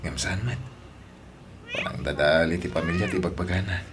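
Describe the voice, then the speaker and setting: A man's voice: low voice, normal speed, a bit bright, a little hiss or hum. A man playing a troubled husband who earnestly defends his wife in a radio-style drama, with a Filipino accent. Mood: troubled, subdued.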